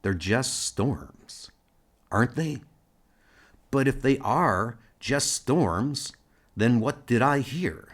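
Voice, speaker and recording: A man playing a thoughtful, self-assured starship captain in an amateur comic audio drama, speaking in a questioning, deliberate way. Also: medium pitch, expressive. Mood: pensive, doubtful.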